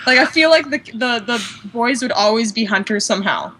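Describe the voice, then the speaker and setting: A woman's voice: fairly high pitch, expressive, a little fast, pushed and bright, rough recording. A woman co-hosting an online radio show, rambling through her ideas and saying "like" constantly. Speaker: podcast co-host. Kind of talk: casual fan discussion.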